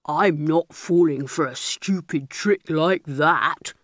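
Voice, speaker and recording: A woman voicing a massive, greedy bully of a bear in a storybook, gruff and domineering. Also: low voice, takes it slowly, no room sound, studio quality, voice pushed down. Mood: suspicious, scheming.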